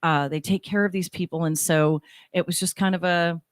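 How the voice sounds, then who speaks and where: A woman's voice: fairly low pitch, fairly even intonation, moderate pace, no room sound, studio quality. A middle-aged woman co-hosting a radio talk show, chatting in a rambling way and often restarting her sentences. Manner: rambling, self-interrupting storyteller. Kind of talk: casual chat. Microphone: studio microphone.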